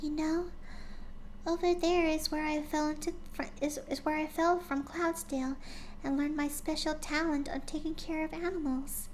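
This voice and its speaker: A woman voicing a shy, gentle young female pegasus pony with a soft, breathy, hushed voice, in a fanfic reading. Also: sits high, little pitch movement, normal speed, slight background noise, voice pushed up, lightly acted, rough recording. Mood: soft, sorrowful.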